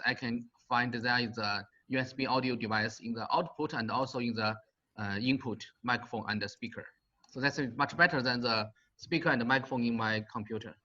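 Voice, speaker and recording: A man on a video call explaining a setup, halting with many fillers. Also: medium pitch, pushed and bright. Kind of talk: online class discussion. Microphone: computer mic on video call. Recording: dull lo-fi call audio.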